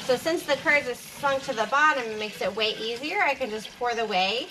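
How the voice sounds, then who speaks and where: A woman's voice: fairly high pitch, expressive, normal speed. A woman giving a casual, informal how-to narration in a home kitchen, explaining each step as she works. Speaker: homesteader demonstrating a recipe. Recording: clear background noise, clicking kitchen sounds.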